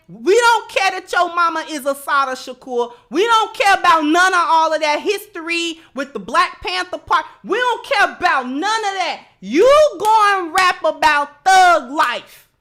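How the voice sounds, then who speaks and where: A woman's voice: extremely high pitch, pushed and bright. An American woman host giving opinionated commentary in informal, colloquial speech, emphatic and repeating phrases to drive a point home. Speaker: livestream host.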